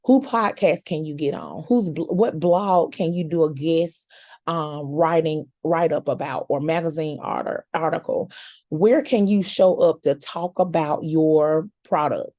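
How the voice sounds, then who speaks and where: A woman with a slightly hoarse, raspy voice, coaching her audience in a chatty, encouraging way with informal slang. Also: deep voice, measured pace, warm tone, no room sound. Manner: chatty, encouraging, repeats words for emphasis. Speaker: content coach. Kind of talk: casual coaching talk.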